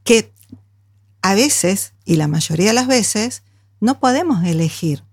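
A woman's voice: mid-range voice, expressive, unhurried, husky, no room sound, clean studio sound. A woman, talking casually as an interview guest. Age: 60s. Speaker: guest, small food business owner.

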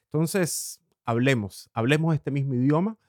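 Man hosting a slow morning radio show. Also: mid-range voice, no room sound, studio quality. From Cuba. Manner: slow, drawn-out phrasing with hesitant fillers. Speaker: radio host. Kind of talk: warm morning radio monologue.

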